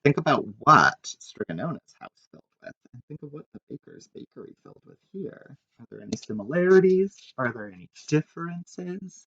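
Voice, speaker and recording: A man's voice: a little high, normal speed, vocal fry, hardly any pauses. A man reading a picture book aloud expressively, adding asides and questions for his listeners. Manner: animated, prompts listeners with questions. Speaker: reader of a children's picture book, teacher. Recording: roomy, slightly dull sound.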